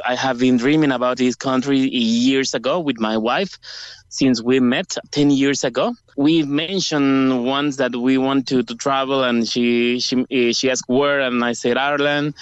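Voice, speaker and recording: A man from El Salvador with a Spanish accent, calling a radio show by phone and answering earnestly in halting English. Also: medium pitch, clean tone, no room sound. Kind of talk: conversational interview answers. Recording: dull phone line.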